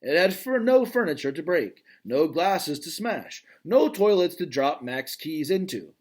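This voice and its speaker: A man reading a children's novel aloud as its gentle, thoughtful male gorilla narrator, in a calm, reflective way. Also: fairly high pitch, a little slow. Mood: wistful.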